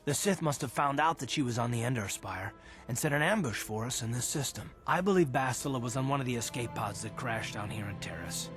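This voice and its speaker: A man's voice: medium pitch, animated, fairly quick, fairly bright tone, faint music behind, close to an everyday voice. An actor playing a resolute, weary male Republic soldier, speaking earnestly in a dramatic video game style, explaining with some urgency. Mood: earnest, determined.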